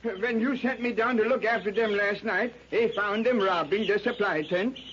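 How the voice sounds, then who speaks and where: A man's voice: sits high, normal speed, fairly bright tone. An actor playing a sly, treacherous man on an expedition in a 1930s radio drama, who talks with smug chuckles. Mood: feigning alarm. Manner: sly, chuckling, feigned innocence. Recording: dull 1930s radio recording, faint hiss.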